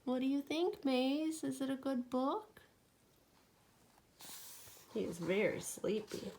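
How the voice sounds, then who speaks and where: Woman, higher-pitched, very unhurried, dramatic bedtime read-aloud, storyteller addressing a young listener. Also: expressive, a little mellow, a little hiss or hum. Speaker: teacher reading a bedtime story. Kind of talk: expressive read-aloud of a children's picture book.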